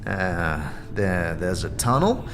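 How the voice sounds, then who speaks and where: A jittery, hesitant companion, played by a man in a fantasy audio drama. He stammers slowly in a creaky voice that swings up and down. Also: sits low, sing-song, slight background noise, exaggerated voice. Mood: nervous. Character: jittery floating companion scout, nervous and hesitant.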